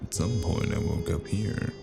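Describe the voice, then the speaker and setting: A man playing a world-weary, haunted noir smoker in an audio drama, brooding and sardonic, speaking in a measured way. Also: natural voice. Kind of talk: noir audio drama with first-person narration. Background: loud cinematic music.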